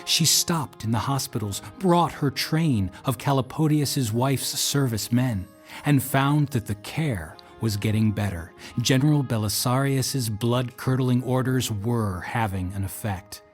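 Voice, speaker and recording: A man reading scripted dramatic narration in a measured, solemn storytelling manner. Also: medium pitch, soft background music.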